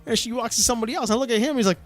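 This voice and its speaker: A man co-hosting a podcast, telling a story in casual chat, animated and full of fillers. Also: extremely high pitch, rapid-fire, no echo, faint music behind. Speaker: podcast co-host.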